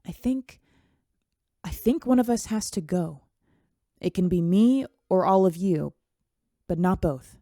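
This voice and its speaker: A woman's voice: lowish voice, animated, a little slow, long pauses, warm tone, dry recording, clean studio sound. A woman voicing a computer-created daughter, earnest and searching. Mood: troubled. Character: computer-born girl, earnest and unsettled.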